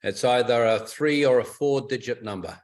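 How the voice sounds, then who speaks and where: Man, medium pitch, informal technical explainer, methodical, instructive, on a conference call. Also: normal speed, darkish tone. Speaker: club technical expert advising a caller. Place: home, on a group conference call. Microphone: telephone or conference call line.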